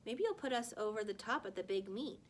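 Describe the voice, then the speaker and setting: Woman acting a middle-school girl, storybook, earnest, eager, sympathetic, mid pitch, light background rumble. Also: big pitch swings, brisk, lightly acted. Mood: upbeat.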